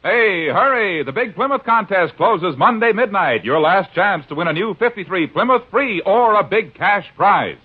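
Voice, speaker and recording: An American man delivering an urgent 1950s radio commercial pitch as an announcer. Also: animated, normal speed, few pauses, husky. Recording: tinny, narrow-band old radio recording.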